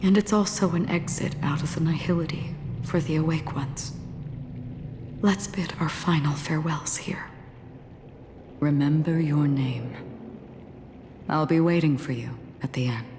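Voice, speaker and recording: An actress playing a mysterious guide who speaks in a calm, hushed, solemn way in a game cutscene. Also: female voice, deep voice, unhurried, pauses often. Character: mysterious woman guide at the edge of existence. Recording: reverberant hall with hiss.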